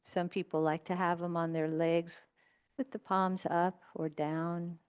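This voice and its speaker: A woman with a smooth, clear and fairly low voice leads a guided meditation. She gives gentle, unhurried instructions and leaves long pauses between phrases, as a meditation teacher does. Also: little pitch movement.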